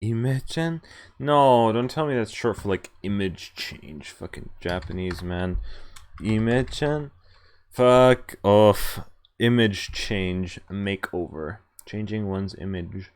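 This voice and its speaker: A man's voice: lowish voice, slow. A man live-streaming a visual novel, reading it aloud and breaking into informal, sweary reactions. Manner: informal, sweary asides, stumbles over read lines. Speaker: game streamer. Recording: game music behind the voice. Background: visual novel music and voiced characters.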